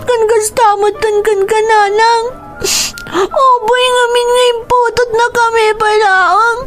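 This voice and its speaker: A frightened young child in an Ilocano radio drama. The voice is extremely high, plaintive and whimpering, with an Ilocano accent, over background music. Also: female voice, steady tone, unhurried, fairly bright tone. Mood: tearful, pleading. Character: frightened, hurt young child of a violent father. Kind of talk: Filipino radio drama acting.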